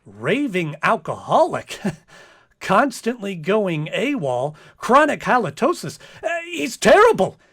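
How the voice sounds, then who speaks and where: A man's voice: sits high, wildly swooping pitch, slow pace, fairly bright tone, husky, heavily acted. A man playing an earnest, self-important space hero in a comic radio play, hesitant and melodramatic. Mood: scheming, smug. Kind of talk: comic old-time-radio-style acting.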